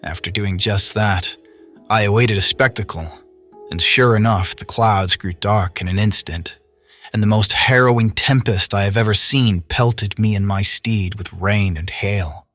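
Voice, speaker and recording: A man's voice: lowish voice, measured pace, a bit bright. An actor playing an earnest young knight, recounting his tale in solemn, archaic language for an audio drama. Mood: earnest, awed. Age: young adult. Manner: formal, archaic, solemn storytelling. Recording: voice over orchestral music.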